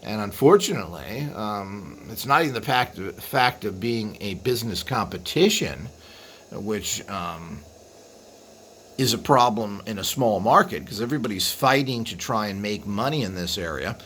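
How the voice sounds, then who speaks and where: A man's voice: fairly low pitch, expressive, fairly bright tone. Rambling man explaining over background noise. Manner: rambling, halting with frequent trailing asides. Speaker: video host. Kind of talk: unscripted explanatory monologue. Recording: clearly audible background noise.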